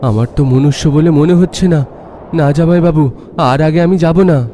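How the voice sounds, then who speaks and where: A man's voice: a little high, moderate pace, dark tone, slight background noise, lightly acted. A man voicing a timid, worried young companion in a cartoon, fretful and questioning. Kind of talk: cartoon voice acting.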